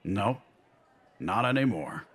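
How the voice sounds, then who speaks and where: A male actor as an older newspaperman in a comic book drama, steady and determined. His voice is fairly low and husky. He speaks slowly and earnestly. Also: exaggerated voice. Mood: resolute. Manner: measured, earnest. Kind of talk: comic dub voice acting.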